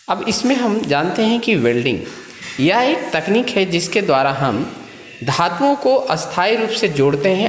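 A man teaching a welding trade course, reading from a textbook page and explaining each point step by step. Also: fairly high pitch. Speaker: trade instructor. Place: voice-over over scanned book pages. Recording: echoey room with long reverb.

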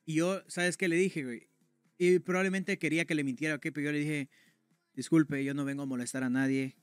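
A man's voice: on the high side, fairly even intonation, a little fast, clean tone, dry recording, faint music behind. A young man streaming from a room at home, casually telling a personal story to his chat in a slangy, conversational way. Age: young adult. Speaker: game streamer.

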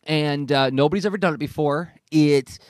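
A man in his forties hosting a casual talk show, speaking in an animated, emphatic way. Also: on the high side, normal speed, dry recording, studio quality. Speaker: podcast host. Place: studio with a dark backdrop. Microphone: close broadcast mic on boom arm, headset.

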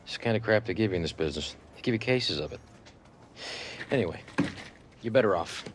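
An actor playing a lively, scheming sports executive. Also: male voice, on the low side, a little hiss or hum, close to an everyday voice. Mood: chummy. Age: middle-aged. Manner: salesman-like, chummy, fast-shifting emphasis. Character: smooth-talking, scheming middle-aged football general manager. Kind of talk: naturalistic cinematic game acting.

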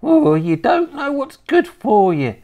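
Shrill, wicked old granny. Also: female voice, big pitch swings, mellow, highs cut off, exaggerated voice. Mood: gleefully wicked. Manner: snappish, scornful, drawn-out emphatic delivery. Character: nasty, bossy, gleefully wicked tiny old grandmother.

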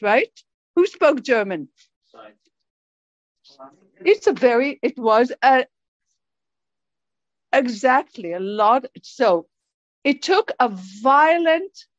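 A middle-aged woman who grew up in Israel leads a study group, reading from a book and quizzing her listeners with lively questions. Her voice sits fairly high. The recording is dry and close, with a dull, muffled tone. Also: dry recording. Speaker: teacher leading a study group. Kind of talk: informal lecture reading from a book.

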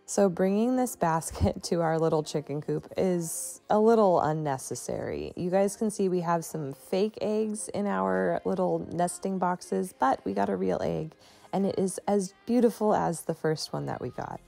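A stay-at-home mom giving a chatty, relaxed voice-over for her home vlog, often saying "like" and "you know". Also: lowish voice, normal speed, creaky, faint music behind. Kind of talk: casual voice-over narration.

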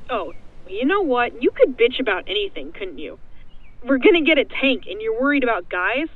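An irritable, casual soldier played by a woman bickers in a cartoon parody. The voice is on the high side, dry and squeezed like a phone line. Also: very animated, normal speed, dry recording. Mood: exasperated. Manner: casual, griping. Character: exasperated young male soldier, bickering with his partner. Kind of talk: fan parody dub of a comedy machinima.

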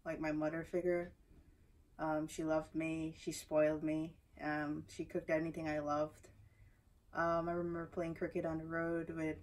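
A young woman with a Guyanese accent telling her life story to camera, soft-spoken and hesitant, with many fillers, recorded indoors from a few feet away. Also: sits low, far from the mic, low-quality recording. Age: young adult. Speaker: athlete introducing herself. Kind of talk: personal story told to camera. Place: small indoor room before a banner.

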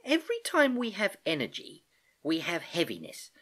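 Narrator acting curious young niece, lifted pitch. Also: wildly swooping pitch, moderate pace, a bit bright, full character voice. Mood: curious, dawning understanding. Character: curious young niece, cheeky, keen to understand.

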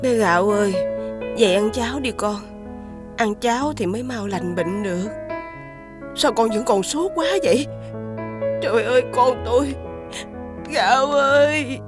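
Highish plaintive mother voiced by a woman. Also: unhurried, long pauses, music in the background, lightly acted. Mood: worried, tender. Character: distressed, weary mother fallen from riches. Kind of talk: animated fairy-tale cartoon voice acting.

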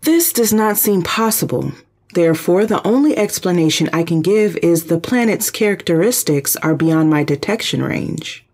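A spaceship's artificial intelligence, voiced by an actor in a sci-fi audio drama. The voice is mid-pitched and slightly husky, delivering reports in a calm, formal, precise way. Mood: analytical, calm. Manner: formal, measured, literal.

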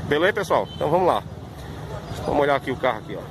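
Male car vlogger, fairly high voice, chatty informal walkaround, outdoor lot noise. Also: big pitch swings, very fast. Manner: chatty, informal, repeats "pessoal". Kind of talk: casual walkaround commentary. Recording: outdoor street noise behind. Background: traffic and crowd ambience.

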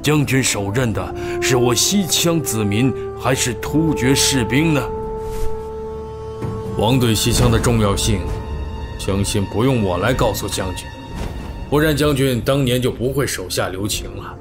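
An actor playing a shrewd royal minister in a historical audio drama, formal, deferential and persuasive. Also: male voice, medium pitch, unhurried, loud music behind the voice, close to an everyday voice. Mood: grave pleading. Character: shrewd, measured male royal chief minister and strategist.

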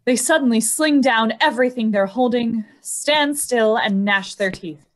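Radio drama robot specialist, female actor: detached, observant, with a fairly high voice. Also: measured pace, close to an everyday voice. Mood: detached, musing. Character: detached expert on robot behavior. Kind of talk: old-time radio drama acting.

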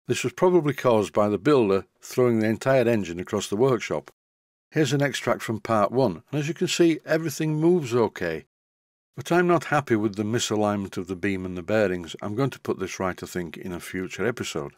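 Older man, low-pitched, dry self-mocking tutor voice-over, close dead recording. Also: lively intonation, a little fast, no echo. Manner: self-deprecating, dry humour. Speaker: model engineering tutor. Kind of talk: scripted voice-over narration.

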